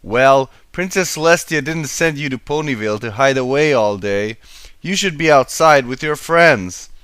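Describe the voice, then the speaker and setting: A man's voice: mid-range voice, animated, moderate pace, fairly bright tone, clear, resonant tone, no echo, natural voice. A man voicing a baby male dragon helper in a storybook reading, caring but nagging in a boyish, coaxing way.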